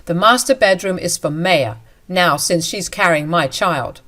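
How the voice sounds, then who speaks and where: A woman reading a story aloud, voicing an angry, entitled husband who barks orders in a loud, demanding way. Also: on the low side, unhurried.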